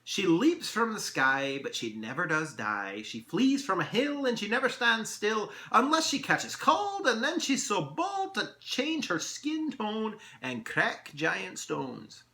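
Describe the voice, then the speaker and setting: A man voicing a tiny, merry and pompous male elf in a storybook, with theatrical, old-fashioned, drawn-out phrasing. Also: high voice, wildly swooping pitch, pushed and bright, echoey room, pitched above the performer's own voice, full character voice. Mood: gleeful. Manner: theatrical, archaic formal phrasing, drawn-out delivery. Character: tiny mercurial male elf, merry, pompous, riddle-loving pipe smoker.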